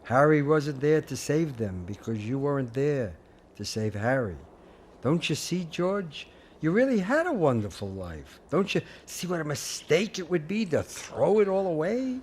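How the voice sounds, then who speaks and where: Guy doing a stammery fussy old angel, kinda high, voice swoops around, soft music under it. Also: big pitch swings, measured pace, slight background noise. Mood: gentle, wise. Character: gentle bumbling elderly male guardian angel. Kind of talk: radio play acting.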